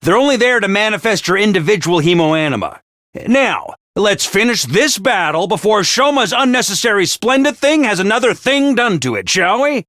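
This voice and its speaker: A man's voice: high-pitched, big pitch swings, moderate pace, bright, forceful tone, no echo, clean studio sound, exaggerated voice. A male actor playing a bombastic, theatrical little mascot commander in a video game, swinging between chirpy and sly. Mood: businesslike. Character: bombastic, theatrical small robot-mascot commander, cheery yet menacing. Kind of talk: animated video game voice acting.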